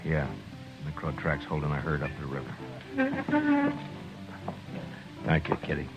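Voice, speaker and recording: An actor playing a stern, quietly grim middle-aged frontier marshal who also narrates, speaking in a low, measured, restrained way. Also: male voice, huge pitch swings, heavily acted. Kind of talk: 1950s radio drama acting with narration. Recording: dull vintage radio broadcast, faint music.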